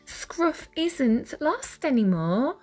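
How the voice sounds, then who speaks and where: A woman reading a children's picture book aloud with deliberate, dramatic phrasing for young listeners. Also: sits high, big pitch swings, darkish tone. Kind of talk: expressive read-aloud storytelling. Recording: music behind the voice. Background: music.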